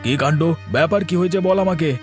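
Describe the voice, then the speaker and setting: A man plays a bossy cartoon villager with a fairly high voice. He talks quickly in a scornful, scolding way, over faint music. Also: close to an everyday voice. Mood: disbelieving. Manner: scolding, dismissive. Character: bossy, scornful, disbelieving village elder brother figure. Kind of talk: cartoon story acting.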